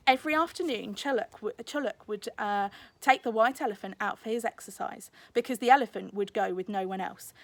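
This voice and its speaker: A British woman, a teacher, reading a children's story aloud in expressive narration. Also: fairly high pitch, creaky.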